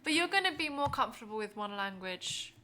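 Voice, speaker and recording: Female podcast co-host, native Korean speaker. Bright mid voice, colloquial, faint noise behind. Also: fairly high pitch, very animated, brisk. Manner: informal, colloquial. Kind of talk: casual chat.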